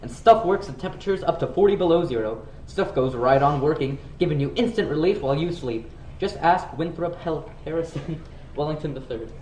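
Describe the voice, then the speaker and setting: Teen boy as old-time radio announcer, scripted delivery, medium pitch, echoing room, off-mic. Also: lively intonation, a little fast, a little mellow, natural voice. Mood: hearty, selling. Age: teenager. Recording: roomy, distant, clear background noise.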